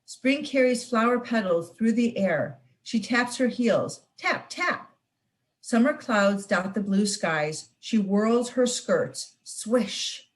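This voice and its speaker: A young woman student reading a children's picture book aloud, expressive and playful with the sound words. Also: a little high, slow pace. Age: young adult. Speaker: student reader.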